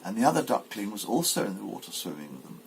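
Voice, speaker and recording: A man's voice: lowish voice, sing-song, fast talker, creaky, a little hiss or hum. A man narrating a children's fairy tale expressively as a volunteer reader.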